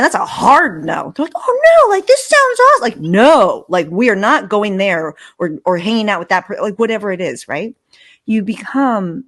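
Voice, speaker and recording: A woman hosting a casual conversation, speaking emphatically and acting out other people's reactions. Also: medium pitch. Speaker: interview host. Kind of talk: casual chat.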